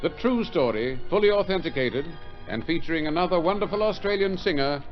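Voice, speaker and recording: A man's voice: fairly high pitch, animated, a little fast, lightly acted. A man narrating an old radio drama in a scripted, formal, dramatic announcer's manner. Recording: muffled old radio recording over music.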